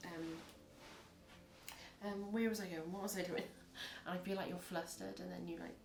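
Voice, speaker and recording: Woman, husky, low pitched, chatty tarot reading, echoey room. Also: fairly even intonation, normal speed, slight background noise. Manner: rambling, chatty, acts out little scenes. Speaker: tarot reader. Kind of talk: casual tarot reading to camera.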